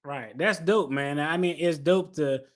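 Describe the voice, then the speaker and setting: A man hosts a relaxed interview in a noticeably high voice. His phrasing is informal and slangy, with affirming interjections. He sounds conversational, like someone chatting with a guest he wants to draw out. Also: unhurried. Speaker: podcast host interviewing a guest. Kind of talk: casual interview chat.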